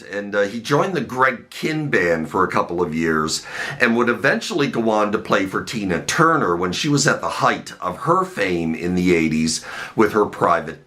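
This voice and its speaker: A man with a cold and a hoarse voice, teaching a guitar lesson in a relaxed way with lots of "uh" fillers. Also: fairly low pitch. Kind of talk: guitar tutorial. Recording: guitar and faint backing music behind.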